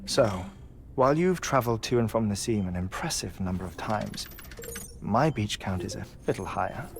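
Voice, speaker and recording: An actor playing an eccentric middle-aged scientist in a video game, grieving yet courteous and wry, speaking in measured, precise phrases. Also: male voice, fairly low pitch, lively intonation, creaky, background noise. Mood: reflective, matter-of-fact. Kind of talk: cinematic video game acting.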